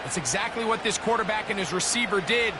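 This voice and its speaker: A man's voice: high voice, fast, heavy background noise, close to an everyday voice. A man with an American accent voicing a folksy football color analyst who explains the game with emphasis. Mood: keen, emphatic. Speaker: color commentator. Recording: loud music behind the voice.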